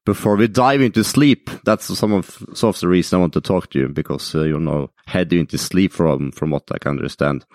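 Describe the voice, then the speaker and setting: A man's voice: low voice, dry recording. A man with a Norwegian accent, politely interviewing a guest on his podcast and often laughing. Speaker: podcast host. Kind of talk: interview questions.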